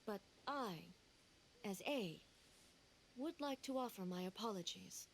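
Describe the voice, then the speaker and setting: A woman voicing a composed, regal female deity in a game, measured and formal. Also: medium pitch, expressive, a little hiss or hum. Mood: solemn. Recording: game audio with music behind.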